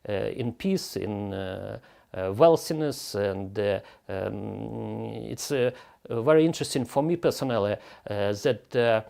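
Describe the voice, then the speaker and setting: An older man from western Ukraine, a retired air force colonel answering interview questions in halting English with many pauses. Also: fairly low pitch, lively intonation, creaky, darkish tone.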